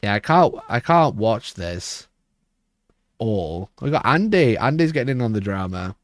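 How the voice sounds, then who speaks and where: A man with a rough, hoarse voice, chatting casually on a livestream and rambling with frequent restarts. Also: on the low side, big pitch swings, unhurried, no echo, clean studio sound. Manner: rambling, restarts mid-sentence. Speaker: livestream host.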